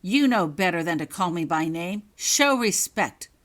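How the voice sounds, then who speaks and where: Woman acting a loud, bullying young male prefect. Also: on the low side, animated, a little slow. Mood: angry, commanding. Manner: barking, stern, suspicious. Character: angry, bullying young male school prefect, arrogant and commanding.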